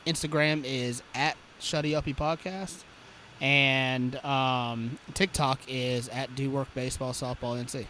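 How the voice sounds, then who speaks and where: A man's voice: medium pitch, steady tone, a little slow, pushed and bright, slight background noise. A man co-hosting a podcast, playful and teasing in casual chat. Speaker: podcast co-host.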